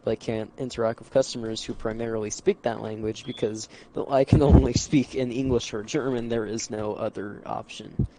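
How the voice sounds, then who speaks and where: A man's voice: fairly low pitch, fairly even intonation, fairly quick, few pauses, warm tone, a little hiss or hum, poor audio. A man from the United States chatting on an online voice call, telling rambling stories full of "like" and "you know". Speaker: stream co-host. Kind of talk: casual group chat on a voice call. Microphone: online voice chat mic.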